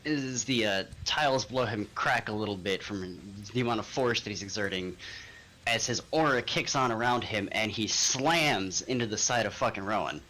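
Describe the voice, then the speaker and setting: A man's voice: lowish voice, moderate pace, pushed and bright, poor audio. A man playing a tabletop role-playing game, talking casually as he describes the action. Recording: clear music behind the voice. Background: music.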